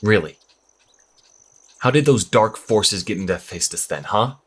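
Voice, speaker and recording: Low, husky troubled young priest. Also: male voice, animated. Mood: angry, accusing. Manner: hesitant, halting, earnest. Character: troubled young priest losing faith in his church. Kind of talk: audio drama acting.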